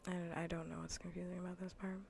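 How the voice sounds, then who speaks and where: A woman livestreamer chatting casually in a soft, breathy near-whisper, rambling bluntly and sardonically with plenty of swearing in an ASMR style. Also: fairly low pitch, little pitch movement, fast talker, a little hiss or hum. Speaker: livestreamer hosting an ASMR gaming stream.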